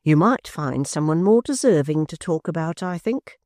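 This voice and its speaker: A reader voicing a stern, disapproving middle-aged wife in a storybook reading, speaking curtly and reproachfully. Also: male voice, a little high, animated, moderate pace, a little mellow, no echo, clean studio sound, pitched above the performer's own voice, close to an everyday voice. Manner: curt, reproachful.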